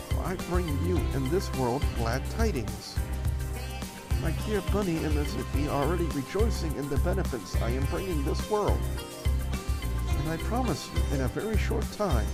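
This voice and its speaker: A smug villainess queen from a visual novel, acted out by a man, speaks with regal formality. She gloats coldly and grandly over music. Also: fairly high pitch.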